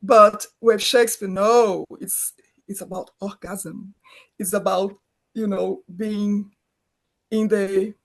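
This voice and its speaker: A woman's voice: medium pitch, fairly even intonation, slow, vocal fry. A middle-aged woman from Brazil, a native Portuguese speaker, talking as a filmmaker guest in an interview, often restarting her phrases as she searches for words. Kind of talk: conversational interview.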